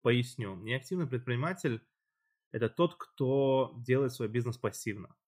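A man's voice: medium pitch, moderate pace, darkish tone, breathy edge. A man hosting a casual chat, explaining at length with loose phrasing and fillers. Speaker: podcast host.

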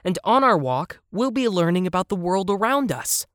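Narrator voicing an earnest, high-pitched bossy young explorer. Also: sing-song, moderate pace, a bit bright, dry recording, clean studio sound. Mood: proud, explaining. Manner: self-important, emphatic. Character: earnest, bossy child explorer playing geographer.

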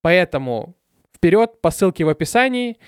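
Young male podcast co-host, animated storyteller, slightly high voice, dry close mic. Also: moderate pace, clean tone, no echo. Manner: lively, animated storytelling with laughs. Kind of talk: casual podcast chat with sponsor read.

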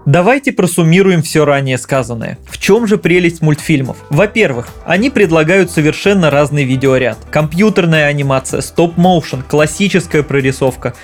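Higher-voiced male film critic over music. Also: animated, moderate pace, hardly any pauses. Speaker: film critic presenting a video essay. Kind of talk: scripted commentary with conversational asides.

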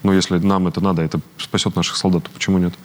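Low-voiced man, earnest and halting. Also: little pitch movement. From Ukraine. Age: 30s. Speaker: guest. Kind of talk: interview conversation.

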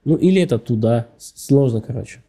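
Guy rattling along fast on a podcast, very dark mellow tone, hedges a lot. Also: mid-range voice, expressive. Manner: quick, hedging, thinking aloud. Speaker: podcast guest. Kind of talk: casual podcast interview talk.

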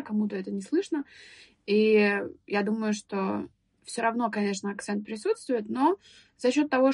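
A young woman guest on a podcast, recalling her school years in a thoughtful, hesitant way with many pauses and restarts. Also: medium pitch, breathy edge, no echo. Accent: Russian speaker living in England. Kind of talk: casual podcast interview.

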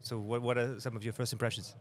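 Male podcast host, mid-pitched voice, loose rambling questions, lots of um's. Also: flat delivery, quick pace, a little hiss or hum. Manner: rambling questions, many restarts and fillers. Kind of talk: interview hosting. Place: conference forum venue.

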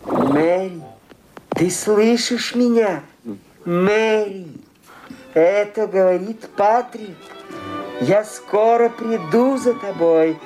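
Radio drama phantom: dead man summoning a loved one, solemn, unhurried, faint music beneath. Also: high-pitched, darkish tone, rough recording. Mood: eerie, solemn. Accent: Irish, as the story says. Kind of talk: radio play acting.

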